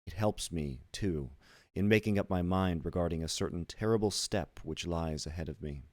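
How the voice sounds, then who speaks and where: Deep-voiced man narrating classic horror. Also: normal speed, a little mellow, no echo, clean studio sound. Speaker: audiobook reader. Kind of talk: scripted literary narration of a horror story.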